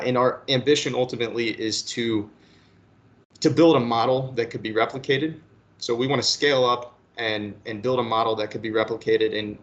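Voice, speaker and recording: A man's voice: medium pitch, lo-fi sound. A man in his thirties giving an earnest, prepared talk on a webinar panel, often restarting his phrases as he speaks. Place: webinar call.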